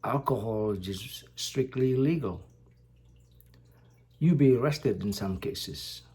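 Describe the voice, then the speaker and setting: Indonesian-born male vlogger, slow, mellow, halting delivery. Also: medium pitch, animated. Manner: slow, halting, many fillers. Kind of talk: casual talk to camera.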